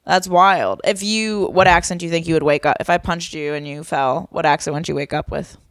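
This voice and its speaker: A woman's voice: sits low, fairly even intonation, brisk, no room sound, studio quality. A young woman from Boston co-hosting a podcast, rambling and musing in casual chat. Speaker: podcast co-host. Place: Miami home.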